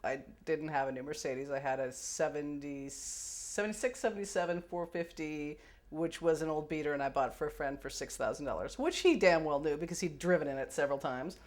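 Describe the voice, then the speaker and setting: A woman with a mid to low-pitched voice talks candidly, as if being interviewed. She is wry and often hesitant, searching for her words as she looks back. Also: fairly quick. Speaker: film production executive, interviewee.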